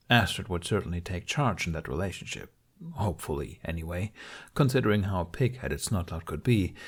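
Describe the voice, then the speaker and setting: A man reading scripted story narration as an audiobook narrator. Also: fairly low pitch.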